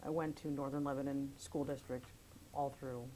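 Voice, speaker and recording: A woman in her fifties from Pennsylvania, an attorney, recounting her life story in an oral history interview with a measured, careful manner. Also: very deep voice, flat delivery, warm tone, a little hiss or hum, low-quality recording.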